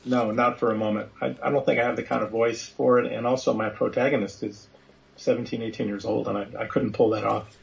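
A man with a creaky, gravelly voice of medium pitch answers as an interview guest. His delivery is casual and conversational. The recording sounds a little dull. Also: steady tone, brisk.

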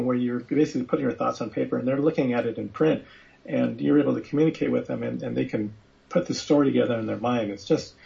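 A man with a creaky, gravelly voice of medium pitch answers as an interview guest. His delivery is casual and conversational. The recording sounds a little dull. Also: a little mellow.

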